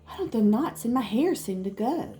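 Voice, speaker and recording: A woman's voice: fairly high pitch, expressive, normal speed, warm tone, natural voice. A woman reading a children's storybook, voicing a puzzled, upset little girl who stands up for herself. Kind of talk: children's storybook read-aloud.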